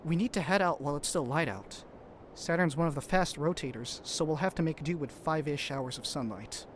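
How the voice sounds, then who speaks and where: An actor playing a loyal young crewman in an audio drama, speaking in a measured, earnest, reassuring way. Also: a little high, slight background noise, natural voice. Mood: steady.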